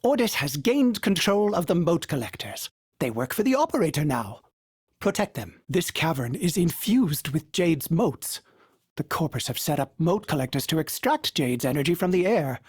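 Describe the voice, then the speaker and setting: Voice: medium pitch, big pitch swings, normal speed, breathy edge, dry recording, studio quality. An actor voicing a cheerful, fussy male ship AI who speaks formally, calls himself by name and stutters with glitchy repeats. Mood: dutiful. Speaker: ship companion AI. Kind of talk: video game voice lines.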